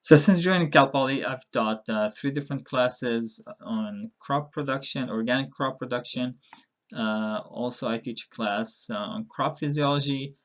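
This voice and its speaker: A middle-aged man from Palestine introducing an online university course as its instructor, with a relaxed delivery full of "uh" and "um". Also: medium pitch, moderate pace, lo-fi sound. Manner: relaxed, with frequent "uh" and "um" fillers.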